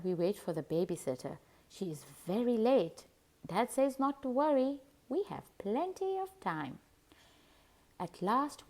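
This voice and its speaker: Animated woman reading a children's picture book. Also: medium pitch. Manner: animated, deliberate storytelling. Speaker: host and read-aloud narrator.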